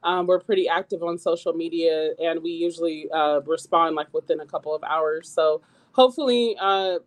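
A woman guest on a radio talk show with a squeaky voice, speaking earnestly as a community organizer, with many fillers and restarts. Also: normal speed, rough recording. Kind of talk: radio interview.

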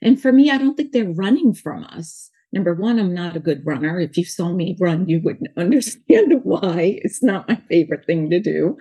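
A woman with a New York accent talks about her coaching work. Her voice sits low and a little husky, with a soft, mellow tone. She wanders through her thoughts earnestly, often restarting mid-sentence. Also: animated, normal speed, hardly any pauses. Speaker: guest, life coach. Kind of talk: casual interview talk.

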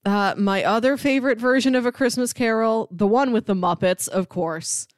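A woman with a fairly high voice talks casually as a film podcast co-host. She sounds enthusiastic and often chuckles as she speaks. The sound is close and dry, with little room around her. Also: moderate pace, no echo. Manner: enthusiastic, chuckles while talking.

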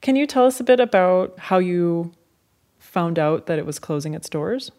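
A woman's voice: fairly low pitch, lively intonation, moderate pace, a little mellow. A woman in her thirties hosting a conversational podcast interview. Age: 30s. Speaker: podcast host.

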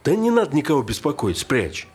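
Actor as grumbling, very animated hospital patient. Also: male voice, a little high, a little fast. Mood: gruff, familiar. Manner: colloquial, gruffly familiar, exasperated. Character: grumbling, irritable, chatty hospital patient, plain-spoken man. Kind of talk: naturalistic stage drama acting.

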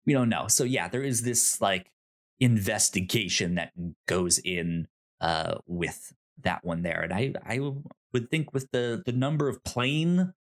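A man co-hosting a review podcast in casual chat, with a halting, thinking-aloud delivery. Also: on the low side, lively intonation. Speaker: podcast co-host.

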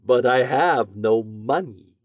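A man voicing a fretful, bargaining storybook wizard with a drawn-out, emphatic delivery. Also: medium pitch, dry recording, voice pushed up, close to an everyday voice.

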